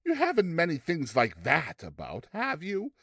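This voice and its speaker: A courteous storybook father on edge as a male reader plays him. His delivery is wary and insistent, with wide swings in pitch. The recording is close and dry. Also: huge pitch swings, moderate pace, pushed and bright, no room sound, heavily acted. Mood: uneasy. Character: uneasy, polite father wanting to leave strange shop. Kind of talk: dramatized storybook reading.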